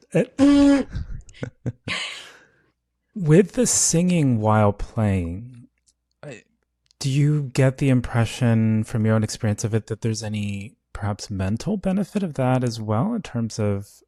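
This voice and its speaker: A man's voice: medium pitch, big pitch swings, a little slow, dark tone, no echo, clean studio sound. A man asking thoughtful, tentative questions as the interviewer on a podcast.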